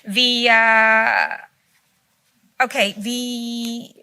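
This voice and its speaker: A woman's voice: fairly high pitch, steady tone, drawn-out words, sharp tone, clear, resonant tone. A woman whose first language is Greek, giving an unscripted conference keynote with frequent fillers and restarts. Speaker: keynote speaker. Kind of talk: conference presentation. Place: conference hall.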